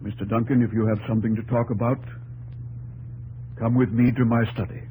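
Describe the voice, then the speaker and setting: Actor as grave, resolute president, noisy old radio. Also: male voice, medium pitch, level tone, warm tone, lightly acted. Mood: commanding. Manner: deliberate, emphatic, formal. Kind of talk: 1950s radio drama acting.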